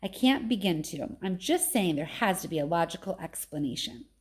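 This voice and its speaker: A woman narrator plays a doubting father in a storybook. He is wry and reluctant, questioning each point. His tone carries gentle exasperation. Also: on the low side, expressive, normal speed, slightly rough. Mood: skeptical.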